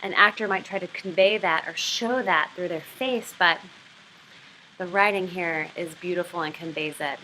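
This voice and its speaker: A woman's voice: fairly low pitch, normal speed, sharp tone, a little hiss or hum, poor audio. A woman giving an explanatory lesson as a writing instructor, talking through a literary passage.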